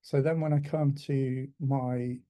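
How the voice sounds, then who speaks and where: A man speaking at a tech conference, his voice very dark and mellow. He walks through a demo in a measured way, filling pauses with ums and restarting his phrases. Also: medium pitch, fairly even intonation. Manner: hesitant, many ums and restarts.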